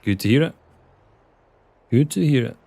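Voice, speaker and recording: A man's voice: medium pitch, expressive, normal speed, creaky, warm tone, no echo. A young Scottish man from Scotland streaming a farming game, chatting casually with viewers and muttering at the game. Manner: chatty, reads chat aloud and mutters at the game. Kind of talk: casual live gameplay chat.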